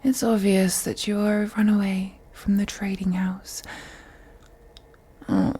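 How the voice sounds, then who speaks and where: A haughty, possessive female vampire, acted by a woman in a scripted audio drama, toys with a captive listener. Her voice is dark and very breathy, often sinking to a whisper. She takes her time over each phrase, seductive and condescending. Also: medium pitch. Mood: teasing, probing. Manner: slow, teasing, condescending, haughty. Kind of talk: scripted audio roleplay monologue.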